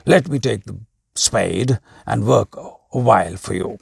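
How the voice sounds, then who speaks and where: Storybook king, played by a male reader: earnest and courteous, husky, unhurried, solemn. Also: on the low side, a little mellow, no echo, full character voice.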